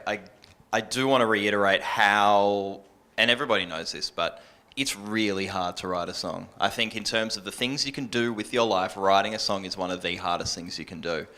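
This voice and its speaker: Bright-voiced man giving panel advice. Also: lowish voice. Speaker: radio presenter and music programmer on an industry panel.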